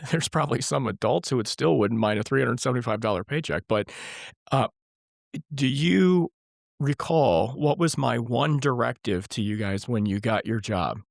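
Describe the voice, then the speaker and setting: A man in his forties hosting a casual family podcast interview, his voice hoarse and scratchy from a cold, easygoing and chuckling often. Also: medium pitch, no room sound, studio quality. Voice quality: scratchy, gravelly. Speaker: podcast host and father of the guests.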